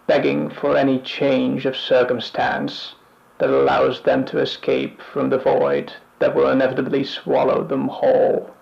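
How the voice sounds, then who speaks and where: A man playing a bleak spirit from beyond, gravelly, slow-paced, solemn horror monologue, muffled lo-fi sound. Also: medium pitch, completely flat delivery, a little mellow. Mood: grim, menacing. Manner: solemn, deliberate, ominous. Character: bleak, weary otherworldly male narrator speaking from the beyond.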